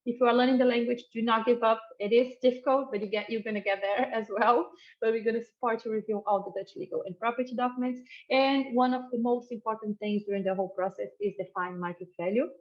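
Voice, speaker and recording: A woman's voice: mid-range voice, lo-fi sound. A middle-aged woman from Brazil hosting a housing webinar, friendly and full of fillers and restarts. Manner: friendly, many fillers and restarts, laughs easily. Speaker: webinar host, housing buying manager. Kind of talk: presenting a webinar.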